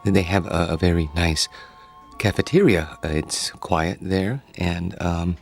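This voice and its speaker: An actor in a radio drama playing a shy, awkward loner, hesitant, stammering and politely apologetic. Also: male voice, low-pitched, a little slow, vocal fry, soft background music. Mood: shy, flustered.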